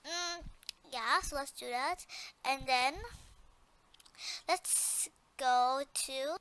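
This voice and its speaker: Tutorial presenter, female, notably high pitch, unhurried pace, informal and hesitant, repeats each step. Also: pushed and bright, low-quality recording. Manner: hesitant, repeats instructions as she goes.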